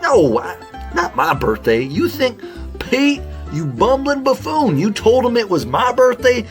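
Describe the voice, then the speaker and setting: A man voicing an earnest, excitable raccoon detective running a case in a children's audio drama. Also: extremely high pitch, unhurried, soft background music, heavily acted. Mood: flustered. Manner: polite, businesslike, flustered when surprised.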